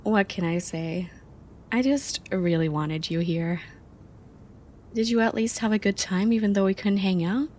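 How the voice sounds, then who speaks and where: A young woman playing an affectionate, teasing friend in an intimate ASMR roleplay, speaking softly and expressively. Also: medium pitch, unhurried, close to an everyday voice. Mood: warm, apologetic. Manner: soft, playful, expressive.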